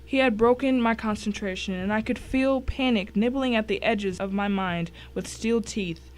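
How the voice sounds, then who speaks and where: A woman's voice: fairly high pitch, normal speed, close to an everyday voice. A woman playing a tense first-person narrator in a dramatic suspense story. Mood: anxious, vivid.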